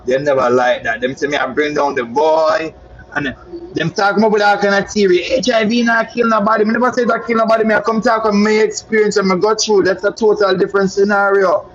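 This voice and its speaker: A man's voice: high-pitched, steady tone, a little fast, fairly bright tone, lo-fi sound. A Jamaican man with a boastful, flamboyant manner, telling a story in casual patois chat and calling listeners "my love". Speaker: social media personality.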